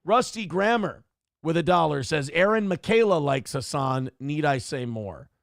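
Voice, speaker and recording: A man hosts his own livestream in a casual, profane, off-the-cuff way, reading viewer messages aloud. His voice sits a little high, with a gravelly edge, and swings widely up and down. The sound is dry and close. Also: animated, normal speed, no room sound. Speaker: streamer and show host.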